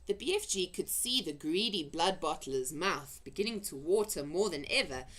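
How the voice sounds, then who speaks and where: A woman narrating a children's adventure story in an expressive read-aloud style. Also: lowish voice, normal speed. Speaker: storybook reader.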